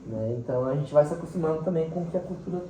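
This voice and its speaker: A man's voice: a little high, racing, mellow. A man with a central-western Brazilian accent talking casually and expressively as a teacher being interviewed, sprinkling in little acted quotes. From Mato Grosso do Sul, Brazil. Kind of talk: casual, animated storytelling in interview. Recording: roomy, distant mic, faint background noise.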